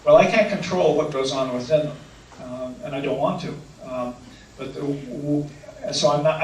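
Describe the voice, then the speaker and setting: A man's voice: fairly high pitch, recorded from a distance. Earnest man speaking in an echoey hall. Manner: earnest, hesitant, restates questions. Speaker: canoe trip leader answering audience questions. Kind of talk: talk with audience Q&A. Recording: echoey room, speaker away from mic, faint noise.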